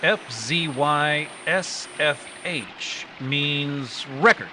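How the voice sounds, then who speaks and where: An actor playing a decisive, businesslike adventure hero in 1940s radio style, brisk and commanding. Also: male voice, fairly high pitch, background noise.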